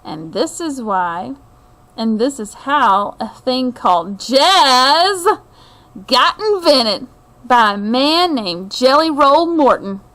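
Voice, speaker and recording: Middle-aged lady doing a kids book read-aloud, high voice, real slow and expressive, chats to listeners. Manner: expressive storytelling with deliberate phrasing and asides to listeners.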